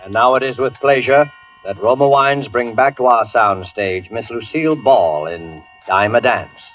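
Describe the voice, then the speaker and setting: A man announcing a 1940s suspense radio program in a measured, dramatic manner. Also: mid-range voice, fairly bright tone. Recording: dull old radio recording, music behind.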